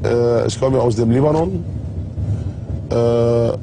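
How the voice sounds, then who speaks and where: Slow, halting man interviewed in a car. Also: medium pitch, background noise. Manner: slow, halting, non-native phrasing. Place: inside a car.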